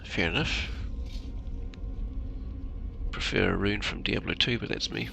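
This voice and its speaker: A man's voice: low-pitched, unhurried, vocal fry. A man giving casual, offhand commentary as he plays through a video game. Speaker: gamer commentating on own playthrough. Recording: game music behind the voice.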